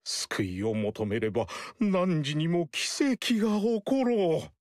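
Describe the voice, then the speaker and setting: An actor playing a grave older sage in a video game who speaks in archaic, preachy phrasing, urging prayer to heaven. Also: male voice, a little high, very animated, takes it slowly, a little mellow, no room sound, clean studio sound. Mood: solemn. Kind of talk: video game cutscene dialogue.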